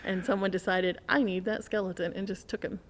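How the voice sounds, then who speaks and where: A woman's voice: medium pitch, fairly even intonation, fairly quick. A woman telling a story in casual conversation as a podcast guest, animatedly acting out what people said.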